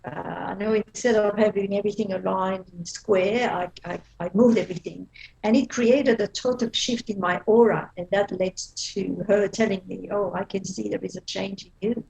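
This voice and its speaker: Woman, 50s, Belgian accent, interview guest, lively, laughs often, restarts. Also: medium pitch, normal speed, creaky, poor audio. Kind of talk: casual interview chat.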